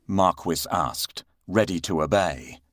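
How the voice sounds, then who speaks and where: A man narrating a scripted children's fairytale as an expressive storyteller. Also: low-pitched, slow pace, no echo, clean studio sound.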